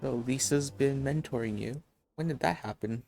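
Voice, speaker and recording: A woman giving casual, low-key commentary in short remarks while playing through a video game. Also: normal speed, vocal fry. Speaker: gamer, streamer. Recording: loud game music behind.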